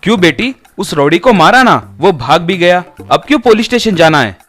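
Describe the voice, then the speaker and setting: A man voicing a protective, honest lawyer of fifty in an animated moral story, with a fatherly, assertive manner. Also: high voice, moderate pace, faint music behind, exaggerated voice. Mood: fatherly, concerned. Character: protective, honest fifty-year-old male lawyer, avuncular.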